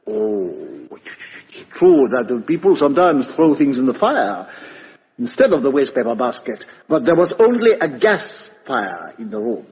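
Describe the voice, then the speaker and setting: An actor playing a shrewd, courteous and methodical foreign detective in a 1950s radio play, speaking deliberately with dramatic rhetorical questions. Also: male voice, mid-range voice, sing-song. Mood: insistent, reasoning. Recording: muffled vintage radio broadcast, hall reverb.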